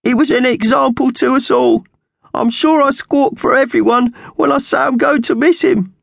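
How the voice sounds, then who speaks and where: Skinny squawky magpie sidekick, way high pitched, mock solemn gang lackey from a kids story. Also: male voice, moderate pace, creaky, fairly bright tone, no echo, full character voice. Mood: tearful tribute. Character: thin scrawny male magpie henchman, nasty yet sentimental. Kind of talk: children's storybook character voice.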